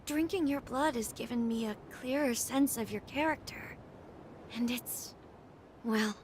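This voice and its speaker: A woman's voice: on the high side, a bit bright, slight background noise. A woman playing a haughty, overprotective noble sister in a video game cutscene, speaking in a formal, dramatic manner. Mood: faint, hesitant.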